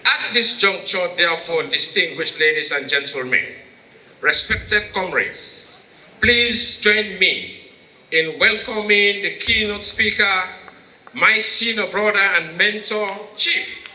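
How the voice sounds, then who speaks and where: A man with a Sierra Leonean accent delivers a ceremonial introduction in a high, very piercing voice. He is grandiloquent and slow, stressing his long words. The sound is echoey, like a hall PA. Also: pushed and bright. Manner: grandiloquent, emphatic, declamatory. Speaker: master of ceremonies introducing the keynote speaker. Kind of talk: formal ceremonial introduction speech. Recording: roomy echoing PA sound, faint noise.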